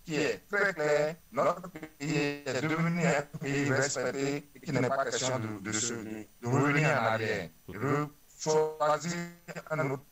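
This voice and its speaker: Man, Beninese French accent, medium pitch, earnest, rhetorical, repetitive phrasing, political interview. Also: measured pace, fairly bright tone, soft background music. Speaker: ruling-party politician interviewed by phone. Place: telephone call.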